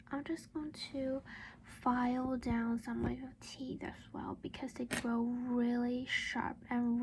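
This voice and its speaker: A woman whispering breathily and close to the microphone in a gentle, reassuring ASMR roleplay as a caring, protective vampire mother. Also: fairly high pitch, heavy background noise.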